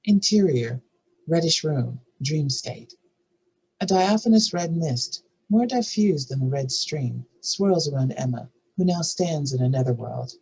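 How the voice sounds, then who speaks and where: A husky-voiced narrator reads screenplay action lines at a table read. The delivery is scripted and descriptive, with a mid-range pitch and a rough edge. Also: animated, unhurried, a little mellow, rough recording. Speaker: narrator reading stage directions.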